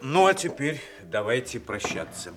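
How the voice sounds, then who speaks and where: An actor playing a dignified senior military commander, formal and deliberate, in a Soviet radio play. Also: male voice, mid-range voice, sing-song, over music, natural voice. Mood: restrained, solemn. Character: dignified senior army commander, male, courteous, measured.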